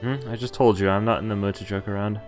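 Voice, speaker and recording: A man whose native language is Dutch speaks in a slightly low voice as a game streamer. He reads story text aloud and drops in casual remarks, stumbling on the odd word. Faint music plays behind him. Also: steady tone, fast. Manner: stumbles over words while reading, comments to himself. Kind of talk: reading a visual novel aloud with casual live reactions.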